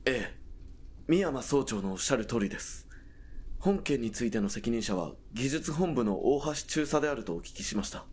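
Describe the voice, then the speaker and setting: An actor playing a dutiful young military police corporal in a radio drama, speaking in a formal, stiff, polite military manner. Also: male voice, mid-range voice, animated, moderate pace, darkish tone, breathy edge.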